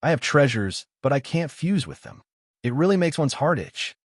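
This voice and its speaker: A man's voice: medium pitch, sing-song, moderate pace, breathy edge, dry recording, studio quality, voice pushed up. A man voicing a brash, cocky young male fantasy hero in an audiobook, exclaiming and scoffing as he talks. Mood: cocky, grumbling. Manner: exclamatory, scoffing, self-interested.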